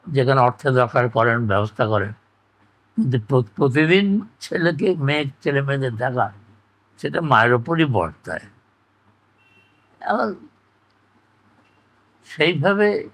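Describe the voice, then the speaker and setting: Older man, measured and reflective. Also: fairly high pitch, fairly bright tone, low-quality recording. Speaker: interview guest. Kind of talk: reflective conversational recollection.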